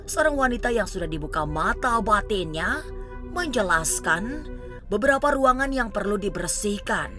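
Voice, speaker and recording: A woman delivering scripted narration as the narrator of a paranormal television show. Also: medium pitch.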